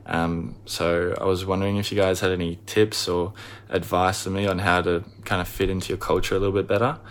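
A man's voice: sits low, little pitch movement, fairly quick. A man with an Australian accent, sending in a laid-back video question to a podcast, with many fillers. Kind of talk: recorded video message.

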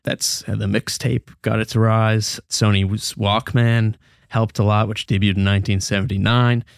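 Low-pitched young American man co-hosting. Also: little pitch movement, a little slow, gravelly, no echo, studio quality. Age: young adult. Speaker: podcast co-host. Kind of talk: conversational news commentary.

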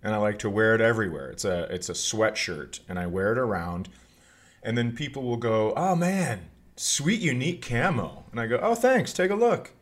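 A man's voice: mid-range voice, big pitch swings, moderate pace. A middle-aged American man chatting casually and telling funny stories as a podcast host. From northern Minnesota, United States. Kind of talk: casual comedic chat and storytelling.

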